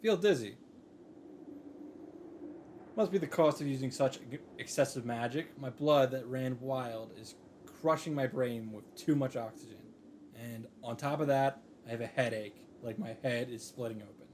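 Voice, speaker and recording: A man's voice: mid-range voice, normal speed, a little mellow, slight background noise. A man reading visual novel narration aloud in a dramatic style, reacting off the cuff.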